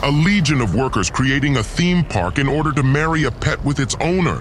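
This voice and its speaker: A man's voice: mid-range voice, animated, fairly quick, a little hiss or hum, pitched above the performer's own voice, natural voice. A man voicing an arrogant, cold ancient god who declaims with pompous scorn in an audio drama. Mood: contemptuous.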